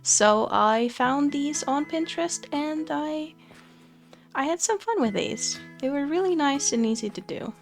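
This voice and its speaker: Young woman for relaxed game commentary, slow and chatty, light guitar underneath. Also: high-pitched, creaky. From Finland. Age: young adult. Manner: relaxed, chatty, frequent fillers and soft laughs. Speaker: Minecraft let's play creator. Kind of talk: casual gameplay commentary.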